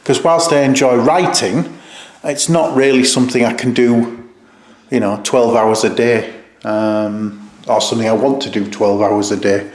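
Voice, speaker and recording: A man's voice: mid-range voice, fairly even intonation, unhurried, a little mellow. A man in his fifties talking casually in a personal video diary, rambling and hesitant in a matter-of-fact way. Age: 50s. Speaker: vlogger. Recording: echoey room.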